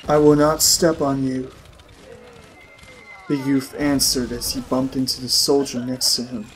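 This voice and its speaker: Male audiobook narrator, medium pitch, dramatic storytelling delivery, light ambience behind. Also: slight background noise, rough recording.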